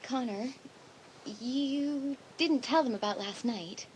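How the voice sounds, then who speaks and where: A woman's voice: sits high, slow pace, a little hiss or hum, poor audio. A woman playing an uneasy, moody teenage girl in a dramatised audiobook, with a hesitant, defensive way of talking. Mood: sullen.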